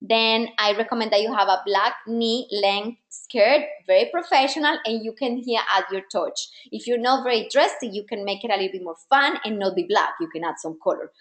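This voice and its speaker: A woman's voice: fairly high pitch, fairly quick, hardly any pauses, reverberant. A woman style coach whose first language is not English, giving an encouraging, direct masterclass to women. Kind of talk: live online masterclass.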